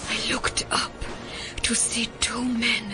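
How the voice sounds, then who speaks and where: An actress playing a shaken, dedicated female scientist in an audio drama, speaking in a slow, hushed way. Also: fairly high pitch, flat delivery. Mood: haunted, shaken. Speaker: voice actor. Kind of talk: modern audio drama acting. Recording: dramatic music under the voice.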